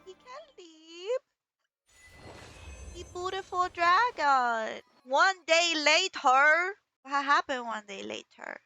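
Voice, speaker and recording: A woman doing casual live game commentary in an excitable, repetitive, slangy and sweary way. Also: very high voice, takes it slowly. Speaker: gamer, video creator. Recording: loud game music behind. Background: game music and effects.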